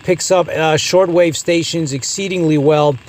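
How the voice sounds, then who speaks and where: A man with an American accent and a slightly high-pitched voice presents a hands-on product review. His delivery is casual and conversational, like a hobbyist host talking to his viewers. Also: steady tone, unhurried, a little mellow. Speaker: host of a radio review channel.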